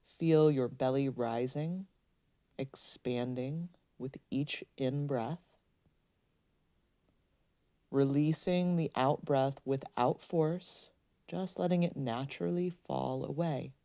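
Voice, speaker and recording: A woman leading a guided meditation, speaking in a soothing, gently instructing way with unhurried pauses. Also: very deep voice, dry recording.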